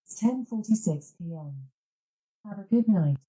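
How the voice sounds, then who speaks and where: A woman's voice: mid-range voice, expressive, moderate pace, dark tone, breathy edge, rough recording. A synthetic woman's voice assistant giving a short reply, played through a smart speaker in the room.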